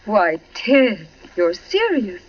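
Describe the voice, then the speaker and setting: An actress playing a teasing, evasive suburban wife in the style of 1940s radio drama. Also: female voice, mid-range voice, very animated, slow pace. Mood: indignant, evasive. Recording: muffled, noisy 1940s radio recording.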